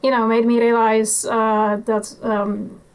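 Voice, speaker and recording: A young woman in her twenties speaks with a Polish accent, her voice sitting a little high. She talks in a relaxed, almost offhand way, halting often on small fillers as she gathers her thoughts. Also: clean tone. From Poland. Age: 20s. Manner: laid-back, nonchalant, halting with many fillers. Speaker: guest motorcycle traveller. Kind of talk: casual interview chat.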